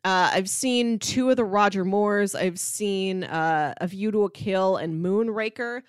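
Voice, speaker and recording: A woman with a mid-pitched voice talks casually as a podcast co-host. She is conversational and wryly joking, recorded dry with very little room sound. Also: measured pace, smooth, no room sound.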